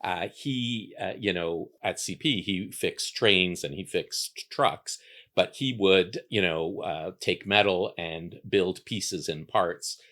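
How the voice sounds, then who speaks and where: A man's voice: low voice, takes it slowly, fairly bright tone. A man from Canada whose first language was an Italian dialect, speaking reflectively as an interview guest, with many fillers and restarts. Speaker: guest, architect. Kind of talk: relaxed conversational interview.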